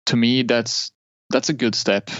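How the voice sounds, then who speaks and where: A man's voice: medium pitch, fairly even intonation, measured pace, a bit bright, dry recording, muffled recording. A man from Norway, a guest in an interview, talking casually about his money habits with many fillers and restarts.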